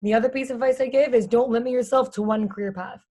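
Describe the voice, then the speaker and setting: A woman in her twenties with a raspy voice, a guest in a casual podcast interview, talking in chatty, candid run-on sentences. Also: on the high side, steady tone, fast, mellow. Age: 20s. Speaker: guest, stadium and social media host.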